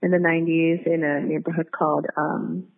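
A woman's voice: low-pitched, level tone, normal speed, gravelly. A middle-aged American woman talking casually as an interview guest, candid and reflective, circling back to rephrase as she tells her story. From San Diego, United States. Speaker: guest, community activist. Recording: tinny phone-like audio.

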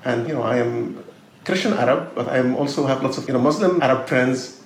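An Arabic-speaking man from Israel, a podcast guest who talks thoughtfully and haltingly with many fillers. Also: mid-range voice, reverberant, a little hiss or hum, rough recording. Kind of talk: podcast interview.

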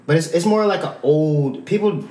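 A young man, a rapper, chatting casually with a relaxed, sit-back delivery. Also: fairly high pitch, normal speed, echoey room, slight background noise. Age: young adult. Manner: laid-back delivery that sits back. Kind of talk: casual chat. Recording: music clearly playing behind.

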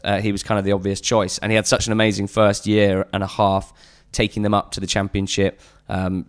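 A man's voice: fairly low pitch, clean tone. An English man guesting on a football podcast, chatting earnestly with lots of fillers and restarts. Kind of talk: casual podcast chat.

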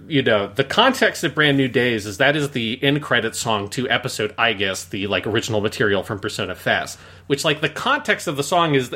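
A man's voice: mid-range voice, bright, forceful tone. A man co-hosting a podcast, giving casual but analytical commentary in a review discussion, explaining at length with emphasis. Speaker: podcast co-host.

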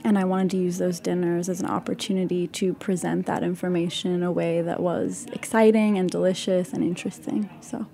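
A woman's voice: lowish voice, little pitch movement, a little fast, vocal fry, hardly any pauses, mellow. Thoughtful woman chef as a guest. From Palestine. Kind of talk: casual interview.

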